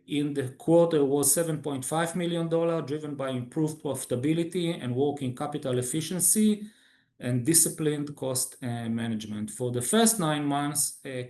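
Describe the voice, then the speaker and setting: A man who speaks English as a second language, formally reading a scripted financial results presentation as a company executive, with halting pauses. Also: on the high side, darkish tone, echoey room. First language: not English. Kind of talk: scripted earnings call presentation.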